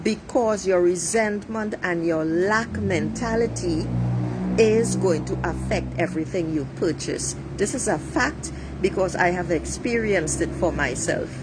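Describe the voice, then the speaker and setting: A woman's voice: on the low side, unhurried. A middle-aged woman from Trinidad with a Trinidadian accent, giving a blunt, preachy monologue to her viewers and swearing freely. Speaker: life coach livestreaming. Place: outdoors near traffic. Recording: street traffic noise behind. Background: passing cars, a siren.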